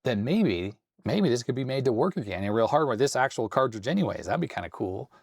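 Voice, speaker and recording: A man hosting a hands-on tech video with a slightly hoarse, raspy voice, thinking aloud in a tentative, self-deprecating way. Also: mid-range voice, sing-song, fairly quick. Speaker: host. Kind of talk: casual hands-on tech walkthrough.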